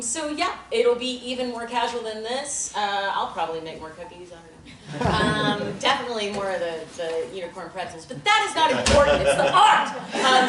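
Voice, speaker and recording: A woman giving casual announcements to a theater audience, bubbly and full of fillers. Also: fairly high pitch. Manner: bubbly, hesitant, with many fillers. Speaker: theater company organizer. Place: theater or event room. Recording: roomy, from far off, faint noise.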